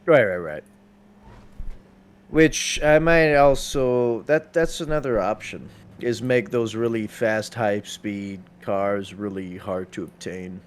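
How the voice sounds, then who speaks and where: A man's voice: on the low side, slow. A man talking casually while playing a video game, with a playful, profane way of speaking. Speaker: streamer.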